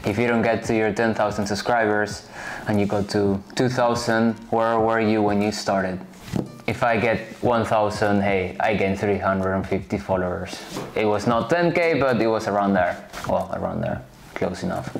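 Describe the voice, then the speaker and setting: Spanish-native man in his late 20s, lowish. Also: lively intonation, moderate pace, vocal fry, slight background noise. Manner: direct, self-correcting, offhand asides. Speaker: vlogger. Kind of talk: casual talk to camera. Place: bright home room, indoors.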